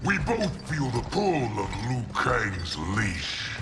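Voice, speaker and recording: An actor playing a sly, menacing commander from a rival realm in a video game, formal and deliberate. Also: male voice, mid-range voice, very animated, over loud music. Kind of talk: video game cutscene acting.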